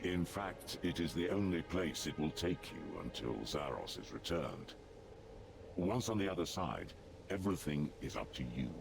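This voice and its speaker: A man voicing a grave, loyal demonic warrior in a video game, stern and formal, with a gravelly voice. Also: a bass voice, very animated, normal speed, exaggerated voice. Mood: grave, resolute. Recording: music behind.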